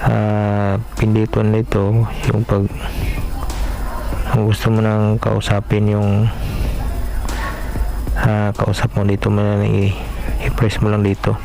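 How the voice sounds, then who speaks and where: A man's voice: fairly low pitch, monotone, takes it slowly, pauses often, low-quality recording. A man from the Philippines hosting a casual unboxing vlog, hesitant and filler-heavy as he talks straight to his viewers. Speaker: vlog host. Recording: clear background music.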